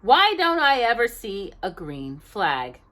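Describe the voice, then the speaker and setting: A woman's voice: medium pitch, wildly swooping pitch, slow pace, a bit bright, full character voice. A woman voicing a young, eager, easily frustrated locomotive in a storybook, with emphatic, exclamatory delivery. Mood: frustrated, muttering.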